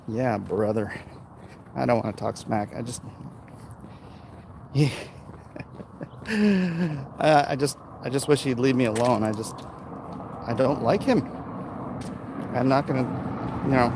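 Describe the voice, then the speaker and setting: An American man with a mid-pitched voice chats casually while walking outside. Clear traffic and street noise sits behind him. His talk rambles loosely, as if answering viewers one comment after another. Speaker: livestream host. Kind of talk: casual walking livestream chat. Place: outdoors, walking along neighborhood streets. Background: urban outdoor ambience, vehicles.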